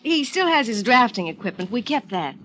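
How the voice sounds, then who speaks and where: Guarded, restrained wife. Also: female voice, on the high side, very animated, brisk, fairly bright tone, a little hiss or hum. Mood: pointed, patient. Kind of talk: radio drama acting.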